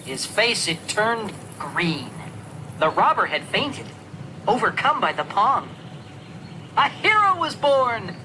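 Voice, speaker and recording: A storyteller narrating a rhyming children's story in an expressive, theatrical, sing-song way. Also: mid-range voice, noisy background. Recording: narration over loud music. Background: music.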